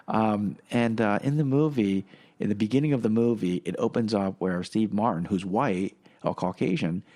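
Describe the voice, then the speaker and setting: Middle-aged man, Korean native, low voice. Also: darkish tone. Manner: rambling, many fillers and restarts, chuckles. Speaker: podcast guest. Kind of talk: casual personal storytelling.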